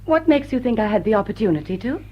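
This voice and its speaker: An enigmatic society widow in a period drama, composed and wry. Her voice is husky with lively intonation, and she teases with cool wit. The sound is slightly dull. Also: fairly high pitch, fast talker. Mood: teasing. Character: poised, enigmatic society widow, teasing a suitor. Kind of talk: period drawing-room radio drama. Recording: dull, muffled audio.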